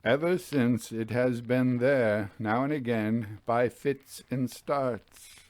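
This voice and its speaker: A man voicing a troubled, haunted railway signal-man, earnest and deferential, in a halting, deliberate dramatic ghost-story reading. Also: mid-range voice, a little mellow, dry recording, natural voice. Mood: grave, troubled.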